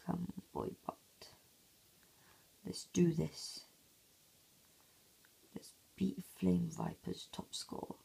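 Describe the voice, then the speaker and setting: A hoarse young man talks slowly in a hushed half-whisper, his voice heavily gravelly and raspy. He sounds dark and low-key, recorded on a phone at home while others sleep. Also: huge pitch swings, rough recording. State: hoarse, croaky voice. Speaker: gameplay commentator. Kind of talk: casual mobile gameplay commentary. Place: home, early morning.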